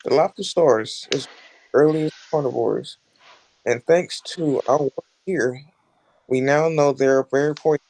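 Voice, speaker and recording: A man playing a knowledgeable, showman-like park tour narrator in a script table read, with a measured, presentational delivery. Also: medium pitch, darkish tone, poor audio. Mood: informative.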